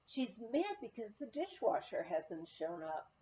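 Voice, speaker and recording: A woman's voice: mid-range voice, sing-song, measured pace, mellow, highs cut off, slight background noise. A woman voicing an upset young woman, a ski bum, exclaiming indignantly in a memoir reading.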